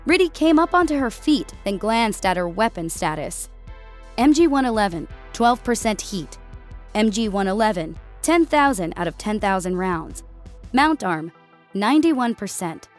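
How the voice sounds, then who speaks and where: A woman's voice: medium pitch, very animated, normal speed, faint music behind. A woman reading scripted narration of a military science fiction story as an audiobook narrator.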